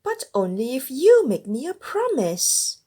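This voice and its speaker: Little talking frog for a children's tale, female reader performing, insistent, lively and slightly husky. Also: high voice, unhurried, exaggerated voice. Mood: offering. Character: small persistent talking male frog seeking friendship.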